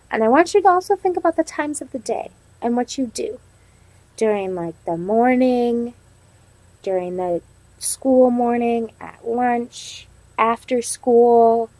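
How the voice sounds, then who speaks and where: A woman's voice: fairly high pitch, darkish tone, no echo, rough recording. A woman teaching young children in a read-aloud lesson, prompting them with simple questions and reading in an instructional way. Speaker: elementary teacher.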